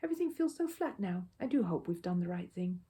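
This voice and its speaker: A woman's voice: on the low side, sing-song, fast, mellow. A woman voicing a tender, anxious mother in a children's storybook, with a wistful, sighing manner.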